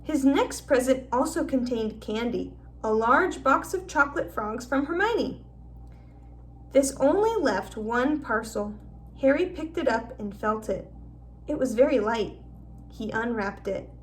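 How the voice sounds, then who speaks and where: A woman's voice: fairly high pitch, lively intonation, measured pace, recorded from a distance. A woman narrating a children's novel aloud as a teacher would in a storybook read-aloud.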